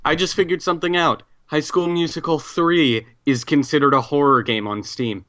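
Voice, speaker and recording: A man's voice: a little high, steady tone, moderate pace. A man chatting casually during a tabletop game, on a very noisy, lo-fi recording with clicks. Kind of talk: casual chat.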